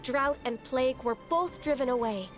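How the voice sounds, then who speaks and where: A woman voicing a gentle, earnest, dutiful young woman in a video game, speaking politely and with care. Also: high voice, soft background music, close to an everyday voice. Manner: polite, measured, careful.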